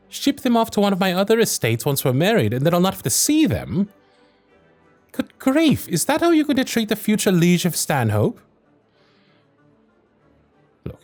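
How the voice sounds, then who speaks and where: A man's voice: fairly high pitch, a little mellow, no room sound. A man playing a proud, teasing young Victorian lord in an ASMR roleplay audio drama, with formal, dry, sardonic period speech.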